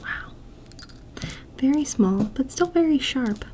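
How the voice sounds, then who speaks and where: A woman doing a soft-spoken ASMR roleplay, mostly whispering and talking gently. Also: high voice, lively intonation, slow, a little hiss or hum. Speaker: ASMR creator. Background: tapping and clicking sounds.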